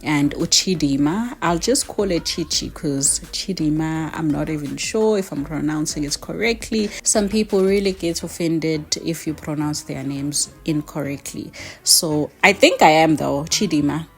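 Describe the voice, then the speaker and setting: A woman's voice: low-pitched, normal speed, soft background music. A young woman with a South African accent talking on her video channel in a chatty, emphatic way, often adding "okay?" and "you know". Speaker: YouTuber. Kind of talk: casual opinion vlog.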